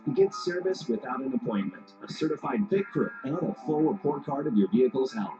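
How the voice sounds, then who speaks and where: A man's voice: mid-range voice, lively intonation, brisk, warm tone. A male announcer reading a car-service commercial in a polished ad style. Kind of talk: radio-style ad read. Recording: dull ad audio with music.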